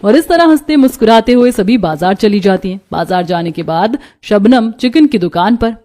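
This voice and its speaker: A woman's voice: medium pitch, lively intonation, normal speed, dark tone. A woman narrating a scripted cartoon story.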